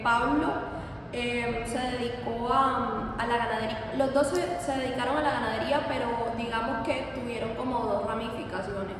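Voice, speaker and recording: A woman in her late twenties from Venezuela, giving a hesitant, self-correcting personal account as an interviewee. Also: on the high side. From Venezuela, Margarita Island. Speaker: interviewee, university student. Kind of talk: informal personal recollection in an interview. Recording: very echoey hall, distant mic, noisy.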